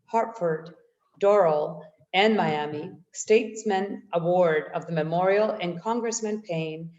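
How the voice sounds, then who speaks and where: A woman's voice: lowish voice, a little mellow. A woman moderating a webinar, reading out a speaker's introduction with enthusiasm. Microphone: video call. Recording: dull lo-fi video call audio.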